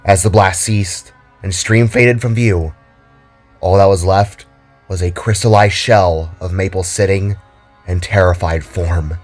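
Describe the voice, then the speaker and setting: Low-voiced man narrating a dramatic story. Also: steady tone, a little slow, soft background music.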